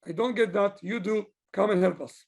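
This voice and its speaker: Husky, high-pitched man from Israel. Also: normal speed. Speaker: business owner, digital consultant being interviewed. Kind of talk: interview answers, conversational.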